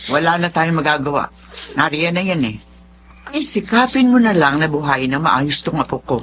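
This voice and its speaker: Radio drama role: practical middle-aged grandmother, lowish voice, animated intonation, concerned. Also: moderate pace. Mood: serious, resigned. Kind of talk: Tagalog radio drama acting. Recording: dull lo-fi, noisy background.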